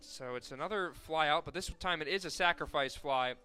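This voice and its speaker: A man with an American accent does live softball play-by-play for radio. His voice sits a little high and rings bright and clear. He talks conversationally through the details, ready to lift for the action. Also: brisk, soft background music. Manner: conversational between plays, excited calls on action.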